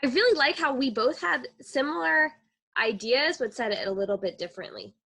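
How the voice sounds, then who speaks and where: A woman's voice: high-pitched, lively intonation, a little fast, sharp tone. A young woman teaching children in conversation with a co-host on a video call. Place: indoor room on a video call.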